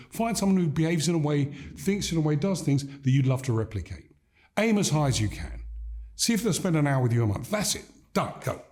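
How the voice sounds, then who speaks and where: An older man from Gambia, a leadership coach, talking in an interview in a measured, emphatic way that builds points through repeated phrases. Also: medium pitch, mellow. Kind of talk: reflective interview conversation.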